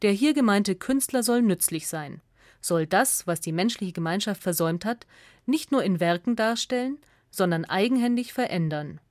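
A female narrator delivers a scripted essay for radio. Her delivery is measured and clearly articulated, with a factual tone and a medium pitch. The sound is close and dry, with no room around the voice. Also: animated, darkish tone, dry recording.

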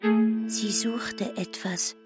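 An actress playing a devoted young village maid in a literary radio drama, speaking expressively and intimately. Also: female voice, fairly high pitch, fairly even intonation, drawn-out words. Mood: intense, desperate. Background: prominent music.

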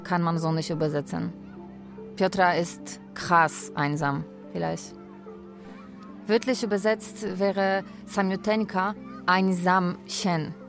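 An actress playing a lonely, wry Polish live-in carer, narrating a radio drama in a deliberate way. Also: female voice, lowish voice, fairly even intonation, vocal fry, music in the background. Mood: lonely, reflective.

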